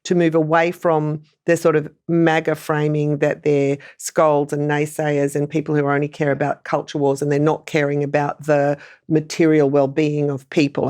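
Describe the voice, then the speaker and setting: A woman's voice: sits low, darkish tone. A woman with an Australian accent giving conversational, explanatory political analysis as a news panellist.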